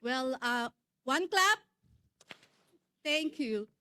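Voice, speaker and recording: A woman's voice: sits high, animated, extremely slow, bright, forceful tone, clean tone, no echo. A woman from the Philippines, a regional network's secretary general, giving a formal welcome speech at a conference with some fillers and restarts. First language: Filipino. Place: conference hall.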